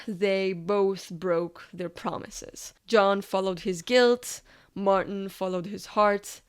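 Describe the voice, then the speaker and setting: A woman's voice: medium pitch, clean tone. A woman video essayist reading scripted analytical narration.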